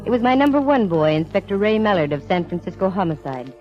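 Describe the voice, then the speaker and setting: A woman's voice: mid-range voice, sing-song, quick pace, soft background music, lightly acted. An actress playing a wry, self-assured female private eye in breezy 1940s radio drama style. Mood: wry narration. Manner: breezy, wisecracking, worldly. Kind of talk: 1940s radio acting, hard-boiled first-person narration. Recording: muffled vintage radio recording.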